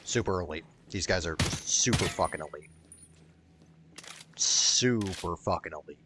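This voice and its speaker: A man's voice: low-pitched, measured pace, sharp tone, a little hiss or hum. A man giving casual, rambling gameplay commentary in a blunt, sweary way. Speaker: gaming video creator.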